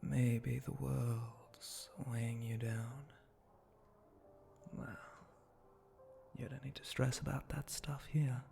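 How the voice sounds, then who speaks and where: Man voicing a breathy, flirty spider-monster bartender. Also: medium pitch, level tone, soft background music. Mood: teasing, coaxing. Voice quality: breathy, often dropping to a whisper. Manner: slow, seductive, teasing drawl with soft chuckles. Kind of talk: intimate ASMR roleplay.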